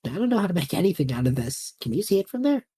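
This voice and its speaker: A woman's voice: lowish voice, big pitch swings, very fast, mellow, husky. A young woman playing a cheeky, easygoing, adventurous male student in a fantasy audio drama, with a breezy, quippy delivery. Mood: casual, teasing.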